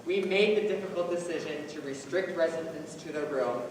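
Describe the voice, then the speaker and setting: A man on stage playing a measured, official care-company spokesman giving a scripted speech. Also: fairly high pitch, lightly acted. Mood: formal, measured. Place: on stage in a large hall. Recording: echoey hall from far off, faint noise.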